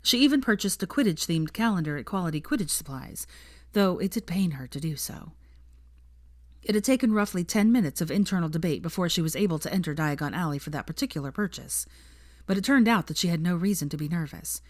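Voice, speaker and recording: A woman's voice: fairly low pitch, animated, quick pace, no room sound, studio quality. A woman narrating a story from a script in an audiobook style. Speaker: audiobook-style fan fiction reader.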